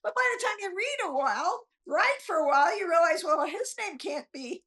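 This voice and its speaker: A woman's voice: extremely high pitch, animated, normal speed, a bit bright. An older woman, chatting casually in an interview in a rambling, self-deprecating, chuckling way. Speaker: guest author.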